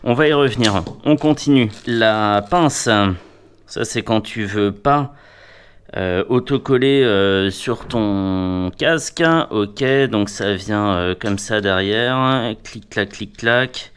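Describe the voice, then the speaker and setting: Low-pitched man, playful gear reviewer. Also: slow, smooth. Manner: playful, wry, talks to himself and the gear. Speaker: product reviewer. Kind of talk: casual hands-on product review.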